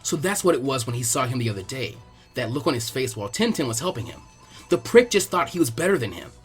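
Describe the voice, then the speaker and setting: A man's voice: medium pitch, very animated, fast, soft background music. A man narrating fan fiction as a scripted story reading.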